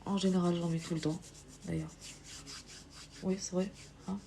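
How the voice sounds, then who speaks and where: A woman with a cold giving a live makeup tutorial on a phone stream, hesitant with many filler pauses, sometimes dropping to a whisper while she works. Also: fairly low pitch, level tone, gravelly, dark tone. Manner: drops to a whisper while applying. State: has a cold. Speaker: makeup livestreamer.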